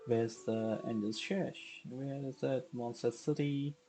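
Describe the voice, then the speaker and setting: A man's voice: medium pitch, steady tone, measured pace, warm tone, poor audio. Casual male game streamer over music. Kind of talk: casual live gameplay commentary.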